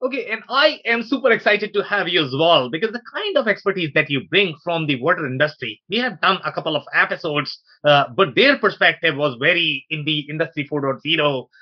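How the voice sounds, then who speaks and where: Higher-voiced man hosting an interview, muffled audio. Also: lively intonation, brisk, few pauses, breathy edge. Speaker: podcast host.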